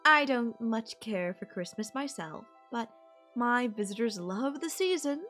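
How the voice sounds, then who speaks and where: A woman's voice: a little high, animated, unhurried, a bit bright, faint music behind. A woman playing a controlling, wealthy CEO in an audio roleplay, speaking in an imperious, formal and coldly condescending manner. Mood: approving, possessive. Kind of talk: ASMR audio roleplay acting.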